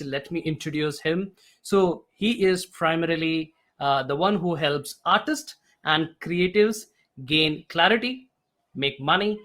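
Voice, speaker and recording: A man's voice: on the high side, a bit bright. A middle-aged man hosting a podcast, introducing his guest in an eager, admiring way with slightly formal phrasing. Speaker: podcast host.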